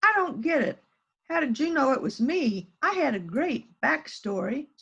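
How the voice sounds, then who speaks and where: A woman's voice: sits high, sing-song, normal speed, fairly bright tone, exaggerated voice. A woman playing a small, innocent-sounding murder suspect in an amateur mystery reading over dull call audio. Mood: caught, baffled. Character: small, guileless-sounding female murder suspect spinning an alibi. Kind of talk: amateur readers theater mystery.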